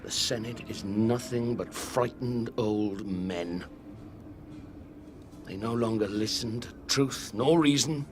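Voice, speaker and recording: An actor playing a grave, resolute older Roman general in a video game cutscene, solemn and commanding. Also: male voice, fairly low pitch, takes it slowly, noisy background. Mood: grave, urgent. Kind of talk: cinematic video game acting.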